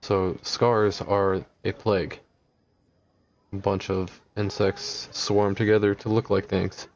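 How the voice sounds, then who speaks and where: A man talking quietly on a live game stream through a headset, musing aloud and reading in-game text, sometimes stumbling over odd names. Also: low-pitched, flat delivery, slow, slight background noise. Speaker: livestreamer playing a video game. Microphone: headset with noise gate.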